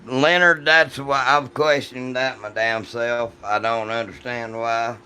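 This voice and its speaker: A man with a deep, rough voice chats casually and jokingly with his livestream audience in a folksy, salty way. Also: little pitch movement, unhurried, pushed and bright, a little hiss or hum. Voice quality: deep, rough voice from vocal cord polyps. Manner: folksy, joking, foul-mouthed. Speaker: livestream host reading and answering chat.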